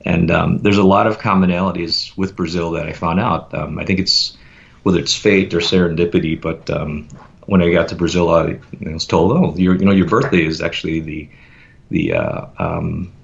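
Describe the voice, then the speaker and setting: Man, very low voice, gravelly, reflective, lots of ums, muffled lo-fi sound. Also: expressive, moderate pace. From United States. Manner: reflective, meandering with many fillers. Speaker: podcast guest. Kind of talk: casual conversational interview.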